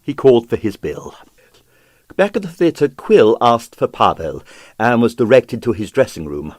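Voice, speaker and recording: A man narrating a detective story as an audiobook reader, theatrical and measured, with clipped phrasing. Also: medium pitch, sing-song, a little mellow, no room sound, studio quality. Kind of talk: dramatized reading of a comic detective novel.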